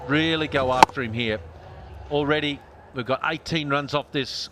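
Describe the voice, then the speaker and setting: A man's voice: a little high, moderate pace, noisy background. A man giving live cricket commentary in short analytical remarks. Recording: loud music behind. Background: loud music.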